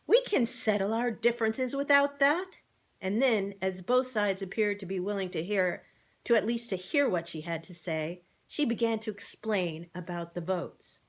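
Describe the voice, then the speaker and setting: A woman narrating a children's book in an expressive read-aloud style. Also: mid-range voice, normal speed. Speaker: storybook reader.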